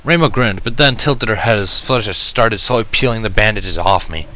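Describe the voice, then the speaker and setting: A man's voice: on the low side, fairly quick, sharp tone. A man reading scripted first-person fan fiction as the narrator.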